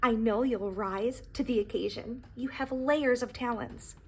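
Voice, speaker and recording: A woman's voice: on the high side, a little slow, breathy edge. A woman voicing a humble, anxious and earnest young pie who tells its own story in a children's storybook, with dramatic emphasis. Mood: earnest, pleading. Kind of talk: expressive storybook reading.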